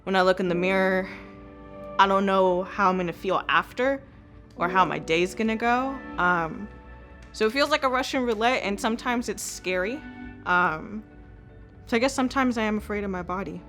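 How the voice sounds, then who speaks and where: A woman's voice: mid-range voice, moderate pace, a bit bright, soft background music. A woman in her twenties speaking candidly and vulnerably about her body. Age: 20s. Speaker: participant. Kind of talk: candid conversation.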